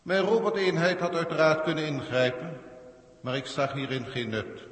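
An actor playing a cold, haughty alien proctor in a 1960s science-fiction radio drama, speaking formally and condescendingly. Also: male voice, fairly high pitch, expressive, normal speed, close to an everyday voice. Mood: cold, superior. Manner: formal, pompous, condescending, archaic wording. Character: haughty alien proctor, cold galactic official testing Earth. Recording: echoey hall-like reverb, 1960s radio.

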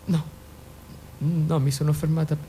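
A woman's voice: sits low, flat delivery, unhurried, mellow, a little hiss or hum. An actress playing an anxious middle-aged mother in a naturalistic radio drama, hesitant and flustered, often going back on her own words. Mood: nervous. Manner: flustered, hesitant, contradicts herself.

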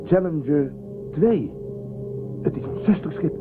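A man's voice: fairly high pitch, animated, unhurried. A man acting in a radio drama as a serious, decisive spaceship commander. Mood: puzzled. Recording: tinny phone-like narrowband audio. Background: music.

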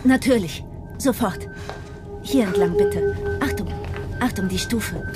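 A woman's voice: very high voice, huge pitch swings, unhurried, over loud music, heavily acted. A woman playing a servile, eager and apologetic airship stewardess in a radio play, polite and flustered. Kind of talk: German radio play dialogue.

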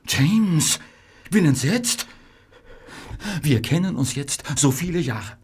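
Worried, earnest pharmacist, animated and high-pitched. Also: male voice, takes it slowly, breathy edge, exaggerated voice. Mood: pleading, admonishing. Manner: pleading, earnest, morally stern. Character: worried, conscientious male Victorian pharmacist. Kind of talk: German radio play acting.

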